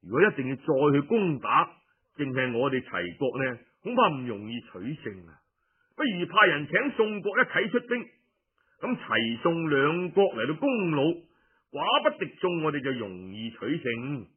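A man's voice: on the high side, sing-song, moderate pace, slightly rough, pitched below the performer's own voice. A man with a Cantonese accent voicing a methodical minister persuading his ruler in an audiobook. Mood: earnest, persuasive. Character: reasoning male minister urging his ruler toward an alliance. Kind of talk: audiobook storytelling. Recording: muffled phone-like radio recording.

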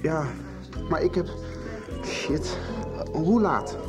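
An actor playing an earnest, evasive teenage schoolboy, hesitant and informal, in a Dutch radio soap. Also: male voice, on the high side, drawn-out words, loud music behind the voice. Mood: reluctant.

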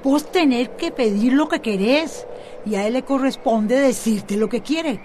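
A woman's voice: on the high side, a little slow, a little mellow, a little hiss or hum, lightly acted. A woman playing a kindly, frank older neighbour giving advice in a radio drama, with a Costa Rican accent. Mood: frank, encouraging.

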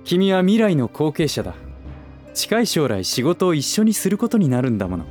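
An actor playing a scheming businessman in a Japanese voice drama, speaking politely and gently with teasing condescension. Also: on the high side, very animated, normal speed, soft background music. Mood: smooth, needling. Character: smooth, scheming adult male relative and businessman, teasing.

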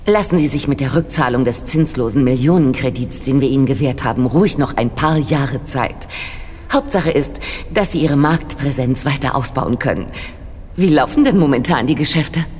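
A woman playing a cool, condescending crime broker in an audio drama, measured, patronising and quietly threatening. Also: rumbling low, a little hiss or hum. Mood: coolly approving. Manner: measured, smooth-talking, patronising. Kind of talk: German audio drama acting. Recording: music playing behind the voice.

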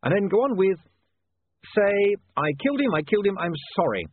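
A man's voice: a little high, very animated, normal speed, husky, pitched above the performer's own voice. A man voicing an urgent, harried newspaperman in an audiobook, emphatic and sprinkled with hesitant ums. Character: harried, urgent young male newspaperman dictating headlines. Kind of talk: audiobook character voice. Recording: band-limited, phone-like sound.

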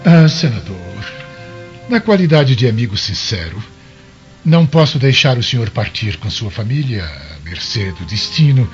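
Actor as a courtly, animated Roman governor. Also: male voice, medium pitch, moderate pace, lightly acted. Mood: solicitous. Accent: Brazilian Portuguese. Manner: formal, effusive, ingratiating. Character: courtly, unctuous Roman governor, mature man. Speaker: actor in a radio drama. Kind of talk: radio soap opera acting. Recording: dull lo-fi radio drama, music behind.

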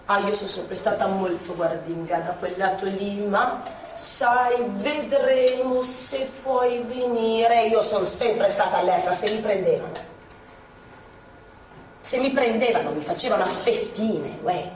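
A woman's voice: mid-range voice, unhurried, natural voice. Emphatic Milanese Resistance woman played by an actress, echoey stage. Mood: wary. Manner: emphatic, colloquial storytelling with repetitions and interjections. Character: proud, guarded former Resistance courier woman recalling wartime. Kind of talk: theatrical stage monologue and dialogue. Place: theatre stage. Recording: echoey theatre stage, distant mic, faint noise.

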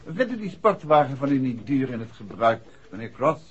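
An actor playing a shrewd, courteous detective who puts calm, measured questions in a 1960s radio drama. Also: male voice, medium pitch, natural voice. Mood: dry, insistent. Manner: polite, measured, probing questions. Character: shrewd, courteous male amateur detective, probing calmly. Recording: dull, muffled 1960s radio recording.

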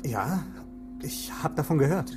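An actor playing a polite, cautious police investigator in a radio drama, courteous and reserved. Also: male voice, a little high, expressive, a little slow, noisy background. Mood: polite, guarded. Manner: courteous, reserved, brief replies.

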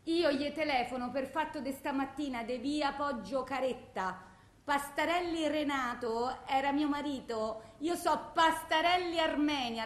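An actress playing a bitter, distraught blind widow in a theatrical monologue, rambling plaintively in a colloquial Roman accent. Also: female voice, high-pitched, close to an everyday voice. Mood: insistent, formal. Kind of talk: theatrical phone-message monologue. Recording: echoey room.

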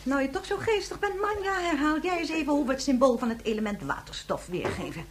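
A woman's voice: high-pitched, lively intonation, fast talker, a little hiss or hum. A woman playing a flustered schoolteacher in a radio play, instructing in a didactic, chiding way. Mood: brisk, instructing. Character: flustered, scolding woman schoolteacher in a future colony.

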